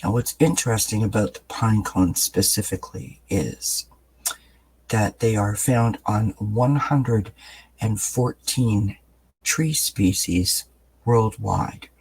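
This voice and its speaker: A man with a raspy, just-woken-up voice, giving a gentle daily tarot reading in a soft-spoken, relaxed way with lots of fillers. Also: lowish voice, slow pace, rough recording. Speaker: tarot reader. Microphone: camera mic, above-view camera.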